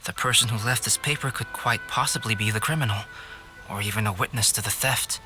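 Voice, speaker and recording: A man voicing a calm, analytical, polite young artist in a video game, speaking in a measured, formal, reserved way. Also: lowish voice, natural voice. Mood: pondering. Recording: music behind the voice.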